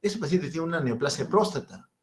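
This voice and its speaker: A man's voice: fairly high pitch, lively intonation, fairly quick. A man in his fifties with a Peruvian Spanish accent, giving a medical lecture and asking and answering his own questions. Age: 50s.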